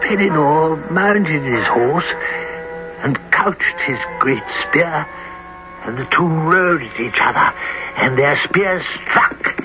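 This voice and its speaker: An actor playing an old wizard who narrates a knightly adventure in a grave, dramatic, drawn-out radio drama style. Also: male voice, on the high side, animated. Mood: dramatic narrating. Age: older. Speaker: narrator and character. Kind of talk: theatrical old-time radio drama storytelling. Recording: dull radio broadcast, loud music.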